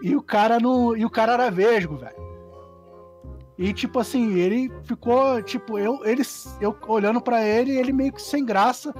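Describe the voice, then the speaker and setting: A man's voice: very high voice, moderate pace, darkish tone, soft background music. A man in a casual podcast chat among friends, slangy and swearing, rambling with many restarts.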